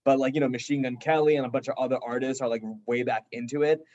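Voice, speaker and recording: A man's voice: medium pitch, fairly even intonation, fast, a little mellow. A man with an American accent chatting casually as an interview guest, acting out quotes as he tells stories, on slightly dull audio. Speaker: band member, interview guest. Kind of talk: casual chat.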